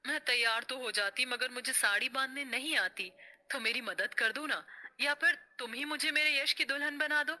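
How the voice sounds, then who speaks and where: Woman playing modern young wife, high piercingly bright voice, outspoken, trusting, cartoon melodrama. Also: moderate pace. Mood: friendly. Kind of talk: dramatic cartoon storytelling.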